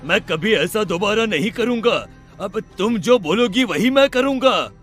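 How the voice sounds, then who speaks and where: A man voicing a terrified village landlord in an animated story, stammering, repeating himself and begging. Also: high voice, unhurried, faint music behind. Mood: terrified pleading. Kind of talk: animated moral story acting.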